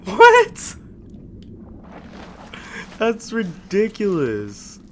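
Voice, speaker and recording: A young man casually commentating a video game as he plays, chatty and joking, with sudden loud outbursts. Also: sits high, wildly swooping pitch, extremely slow, a little hiss or hum. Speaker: gaming YouTuber. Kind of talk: casual let's-play commentary.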